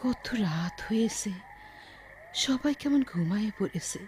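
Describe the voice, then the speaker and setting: An actress as an elderly, doting village grandmother in an audio drama, with a rural Bangladeshi Bengali accent. Her tender, plaintive voice sits fairly high and swings expressively, over noticeable background noise. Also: dark tone. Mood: restless, lonely.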